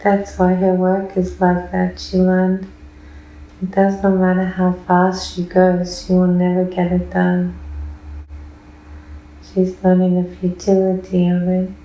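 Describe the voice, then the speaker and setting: A woman speaks in an extremely monotone, slow voice with a dark, relaxed tone in an echoey room. She sounds like a client under hypnosis, answering in detached, deliberate short statements. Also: lowish voice, highs cut off. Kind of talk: trance-like answers in a hypnosis session.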